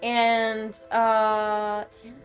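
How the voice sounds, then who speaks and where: A high-voiced woman with a Quebec French accent chats loosely with her stream viewers, trailing off and laughing as she goes. Plain game music plays clearly behind her throughout. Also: monotone, drawn-out words. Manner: chatty, rambling, laughs often, thanks viewers. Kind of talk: casual chat with viewers.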